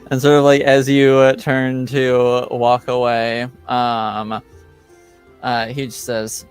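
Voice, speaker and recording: A man narrating a tabletop roleplaying game as game master, improvising in a hesitant, halting way. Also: medium pitch, completely flat delivery, clear, resonant tone, faint music behind.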